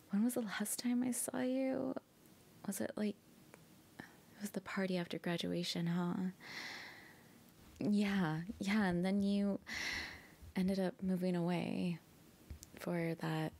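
A woman acts out a shy, flustered young woman meeting an old flame again in an intimate audio roleplay. Her voice sits at a medium pitch with a gravelly fry at the edges. She speaks slowly and hesitantly, in a giggly, girlfriend-ASMR manner. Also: darkish tone. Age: young adult. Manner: hesitant, giggly, often breathy asides.

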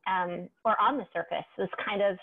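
A woman's voice: mid-range voice, normal speed, husky. A woman in her forties from California, a poet talking animatedly from a room with bookshelves over a video call. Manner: animated, gestures with hands, reflective. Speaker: poet, guest. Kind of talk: casual conversation. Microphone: clip-on mic over video call. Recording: thin phone-like video call audio.

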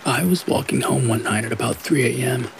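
Male narrator for creepy tales, mid-pitched with a gravelly fry, rain clearly audible behind. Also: fairly quick. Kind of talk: scripted narration of scary stories. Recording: steady rain noise behind the voice. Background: rain falling.

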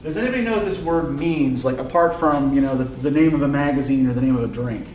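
A man's voice: medium pitch, quick pace, darkish tone. Casting: man, middle-aged college lecturer, questioning delivery, distant mic in a very reverberant classroom. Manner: questioning, often checks with "right?". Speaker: university literature lecturer. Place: classroom, indoors. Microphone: distant camera microphone, speaking through face mask. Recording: roomy classroom from far off, faint noise.

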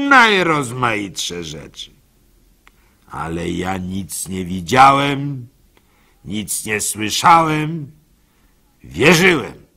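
A man performing a first-person literary monologue for radio as narrator, in an expressive, folksy, conversational manner. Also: mid-range voice, gravelly. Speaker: narrator and storyteller.

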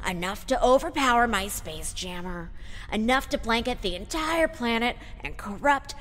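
A woman's voice: fairly high pitch, expressive, moderate pace, a bit bright. A woman playing an eccentric, defensive genius inventor in an audio drama, explaining things in a dramatic, declaiming way. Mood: intense, ominous. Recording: long hall-like reverb.

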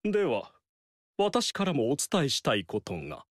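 An actor playing a vain male military strategist in a game, boastful and theatrical, with dialogue that swings between loud pride and soft slyness. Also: mid-range voice, huge pitch swings, normal speed, dry recording, studio quality. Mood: proud. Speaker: game character voice. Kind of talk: Japanese game dialogue acting. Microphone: dry studio mic.

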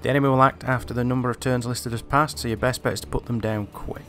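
A man's voice: mid-range voice, fairly even intonation, fast, fairly bright tone. A man giving casual video game commentary and reading text aloud. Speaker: game reviewer. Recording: game music behind. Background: game music.

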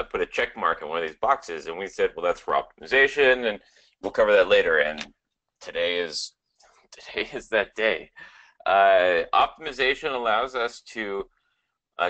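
A man explaining software settings step by step, casual and full of fillers. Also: deep voice. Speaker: webinar co-host.

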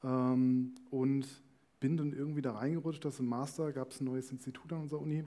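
A man's voice: mid-range voice, flat delivery, brisk, mellow. A man in his thirties explaining things informally as an interview guest, hesitant and thinking aloud, on a headset mic on an indoor stage. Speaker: company founder as interview guest. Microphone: headset microphone.

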